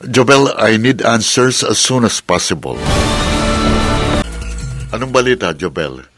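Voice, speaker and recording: A man's voice: lowish voice, very animated, slow, fairly bright tone, soft background music, full character voice. A man acting a businesslike, suspicious bank boss in an office drama, with a Filipino accent. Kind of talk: acted office drama dialogue.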